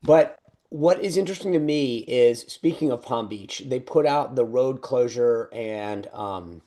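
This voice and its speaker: A man's voice: medium pitch, a little slow, warm tone. Chatty middle-aged man talking politics. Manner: chatty, full of restarts and laughs. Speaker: podcast co-host. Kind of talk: casual political chat.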